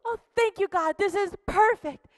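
A woman's voice: very high voice, normal speed, dry recording, studio quality, in a large hall, voice pushed up. A woman playing an earnest young man in a children's church skit, praying aloud and sighing. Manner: earnest, prays aloud, sighs. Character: earnest young male teacher.

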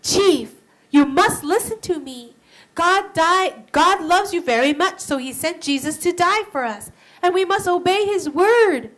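A woman voicing a brave, kind missionary woman in a children's story, speaking high and exclaiming. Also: expressive, unhurried, a bit bright, poor audio. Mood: pleading. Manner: high-pitched, exclaiming, emotional.

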